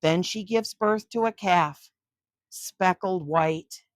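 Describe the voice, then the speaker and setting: Slow, low-voiced older woman reading stories. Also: no echo. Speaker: children's story-time host. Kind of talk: storybook read-aloud for children. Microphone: close microphone.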